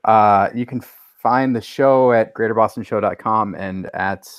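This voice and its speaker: A man's voice: lowish voice, measured pace, smooth, no echo, muffled recording. Agreeable man, dry close sound. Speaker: podcast panelist. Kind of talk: casual chat.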